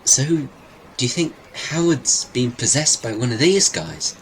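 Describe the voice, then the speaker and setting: A man plays a hesitant, chatty local in an audio drama. His pitch is lively and his delivery halting and informal, with faint noise behind. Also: low-quality recording. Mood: puzzled.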